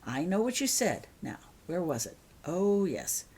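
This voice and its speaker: A woman's voice: lowish voice, sing-song, measured pace, warm tone, husky, full character voice. A woman voicing a firm, caring mother in a storybook reading, decisive and motherly.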